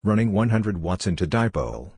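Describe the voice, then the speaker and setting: A man reading out short scripted radio phrases as a practice narrator, in a measured, clipped, phrase-by-phrase way. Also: low voice, big pitch swings, darkish tone, slightly rough, dry recording.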